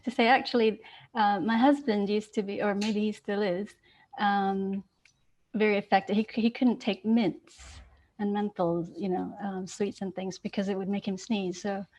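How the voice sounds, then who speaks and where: A woman's voice: mid-range voice, flat delivery, normal speed, a little mellow, poor audio. A woman who sings alto in a choir, politely asking a long, hesitant question in casual chat.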